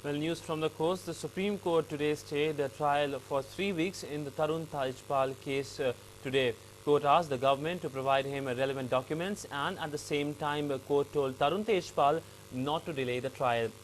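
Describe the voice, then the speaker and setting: A man with an Indian English accent reading the news as an anchor, in a halting, slightly stumbling delivery. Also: a little high, a little hiss or hum, low-quality recording.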